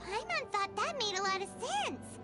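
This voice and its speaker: Chatty little fairy sidekick, very high and lively. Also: female voice, moderate pace, music in the background. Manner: excitable, chatty. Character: tiny chatty fairy companion girl. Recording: game audio with soundtrack.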